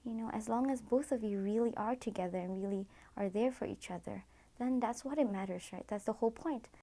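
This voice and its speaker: A woman's voice: medium pitch, brisk, mellow. A young woman from Malaysia, a student, speaking earnestly about her relationship in an interview and dropping to a whisper for asides. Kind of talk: personal testimony in a documentary interview.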